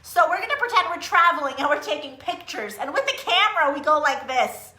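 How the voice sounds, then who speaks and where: Woman, children's librarian, high bright voice, sing-song delivery, story time for little kids, roomy echo. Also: a little fast. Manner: sing-song, animated, talking directly to young children. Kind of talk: live online children's story time, reading aloud and teaching songs. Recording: roomy, echoing sound.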